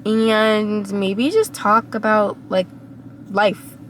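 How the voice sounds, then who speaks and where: A young woman with a cold-hoarse, raspy voice, rambling reflectively to her camera while sitting in her car. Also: medium pitch, fairly even intonation, extremely slow, a little hiss or hum, rough recording. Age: young adult. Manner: rambling, reflective, many fillers. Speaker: vlogger. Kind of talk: casual vlog monologue. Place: inside a parked car.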